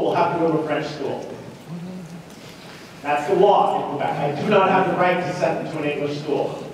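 Male university lecturer, conversational and questioning, recorded from far off with background noise. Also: sits high. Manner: animated, rhetorical questions and check-ins. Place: lecture hall. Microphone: distant room mic. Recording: roomy echoing lecture hall, distant mic, noisy.

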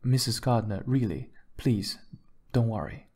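A man's voice: medium pitch, unhurried, darkish tone. Man playing a courteous, earnest guitarist. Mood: reassuring. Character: polite, deferential male guitarist telling his story.

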